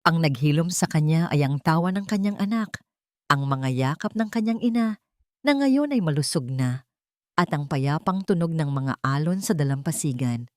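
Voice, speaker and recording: A Filipino woman tells a scripted love story as a narrator. Her voice sits on the low side, and she phrases things dramatically, in measured pieces with pauses. The sound is dry and close, with no room on it. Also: animated, a little mellow, no room sound.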